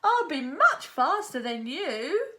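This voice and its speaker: A woman plays a cocky, argumentative storybook inventor, a man with a high voice that swings widely. His lines come out slow and drawn. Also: big pitch swings, pushed and bright, heavily acted.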